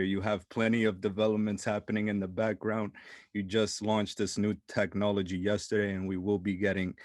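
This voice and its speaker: A man's voice: lowish voice, a little mellow, dry recording. Upbeat male interview host, dry sound. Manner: upbeat, encouraging, agrees often. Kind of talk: casual interview hosting.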